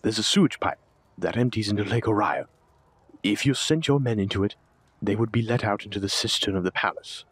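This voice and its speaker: Cowardly, self-important duke for audio drama, male actor: husky, faltering, pleading, recorded dry. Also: lowish voice, fairly quick, fairly bright tone, no echo. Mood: wary, scheming. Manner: stammering, pleading, pompous. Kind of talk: scripted villain roleplay audio drama.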